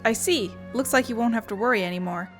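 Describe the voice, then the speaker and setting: Woman gamer, fairly high voice, read-aloud dialogue, casual asides, faint music. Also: quick pace. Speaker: game player reading dialogue aloud.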